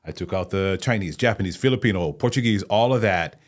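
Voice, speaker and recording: A man from Hawaii with a very low, dark voice talks through his ideas as a guest on a podcast. He is reflective and unhurried, and he often restarts his sentences. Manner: thoughtful, explains at length with restarts. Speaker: guest actor and playwright. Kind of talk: conversational podcast interview.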